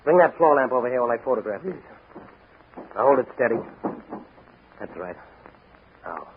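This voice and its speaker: A man's voice: mid-range voice, big pitch swings, normal speed, natural voice. A man playing a tough, confident young masked crimefighter in a 1940s radio drama, American accent, commanding and wry. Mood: brisk, self-assured. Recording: crackly, narrow-band 1940 radio recording.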